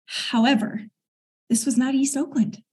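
A middle-aged woman speaks in a slightly husky, breathy voice that sits a little higher than most. She talks in an earnest, reflective way, clearing her throat now and then as she tells her story. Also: steady tone, unhurried, a little mellow. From United States. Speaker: guest. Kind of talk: conversational interview storytelling.

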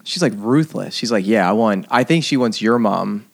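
A man's voice: lowish voice, expressive, normal speed, vocal fry. A young man co-hosting a casual podcast, rambling through his questions with restarts. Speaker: podcast co-host.

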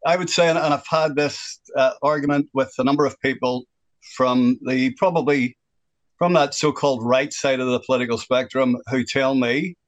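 A sixty-something man with a Northern Irish accent holds forth on a radio phone-in. He is earnest and opinionated and speaks in a middle-pitched voice. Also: steady tone, moderate pace, low-quality recording. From Northern Ireland. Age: 60s. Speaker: radio guest.

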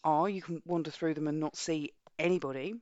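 A woman with a husky voice from a cold, co-hosting a casual book chat and often restarting her sentences. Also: low-pitched, fairly even intonation, brisk, a little mellow, dry recording. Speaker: podcast co-host. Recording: dull lo-fi audio.

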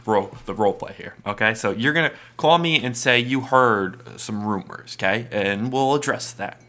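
A man's voice: fairly low pitch, normal speed, bright, forceful tone. A man co-hosting a comedy podcast, setting up role-play bits in a playful, casual way. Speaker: podcast co-host.

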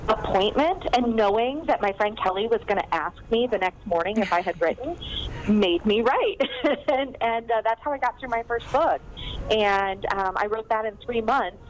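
A woman's voice: mid-range voice, a little hiss or hum, poor audio. A woman novelist chatting casually in an interview, rambling through stories with lots of fillers and asides. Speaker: novelist guest.

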